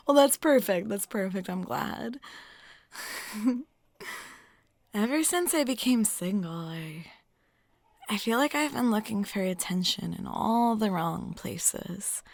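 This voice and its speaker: Woman acting as a cute flirty waitress, giggly n chatty, kinda coy, mid-pitched voice, very dry recording. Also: animated, slow pace, creaky, long pauses, no room sound, exaggerated voice. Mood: flirty, earnest. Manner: giggly, chatty, coy, trailing into filler questions. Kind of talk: intimate flirtatious roleplay audio.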